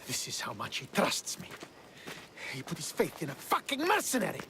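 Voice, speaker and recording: Game cutscene: a resentful army commander, sneering, swinging pitch, faint ambience. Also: male voice, wildly swooping pitch, moderate pace, background noise. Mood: scornful. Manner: scornful, resentful. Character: bitter, hostile male Spartan commander. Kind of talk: video game cutscene acting.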